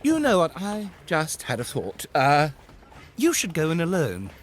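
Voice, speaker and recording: An actor playing a vain, blustering privateer captain in a period audio drama, emphatic and quick to take offence. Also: male voice, on the high side, huge pitch swings, noisy background. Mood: evasive, urgent. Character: vain, indignant pirate-hunting sea captain, self-styled privateer hero.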